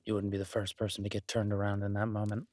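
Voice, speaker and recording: A man playing a kind, protective woodsman in an intimate roleplay audio drama, speaking in an unhurried, reassuring and wryly teasing way with a husky voice. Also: on the low side, monotone, dry recording, lightly acted. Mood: sympathetic, wry. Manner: soft-spoken, unhurried, reassuring, wryly joking. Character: gruff-kind woodsman host, gently teasing, protective cabin dweller.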